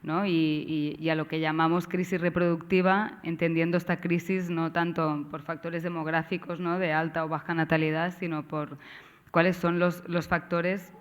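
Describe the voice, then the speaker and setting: Smooth-voiced Catalan woman hosting a seminar. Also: low-pitched, little pitch movement. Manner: halting, with many tag questions and restarts. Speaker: course organizer and moderator. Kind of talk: seminar introduction.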